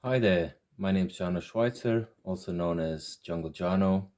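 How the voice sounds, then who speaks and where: A man who grew up in Malaysia, narrating a video tour of his own art in a gentle, reflective way. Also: sits low, moderate pace. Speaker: artist presenting his work.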